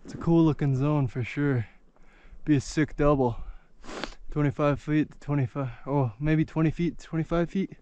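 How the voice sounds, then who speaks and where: A young man talking to himself and his camera while skiing the backcountry, casual and relaxed, recorded outdoors on an action camera. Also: fairly high pitch, normal speed, dull audio, slight background noise. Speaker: ski vlogger. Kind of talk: casual vlog narration. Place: outdoors on snowy mountain slopes. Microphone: action camera on body or car. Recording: music playing under the voice.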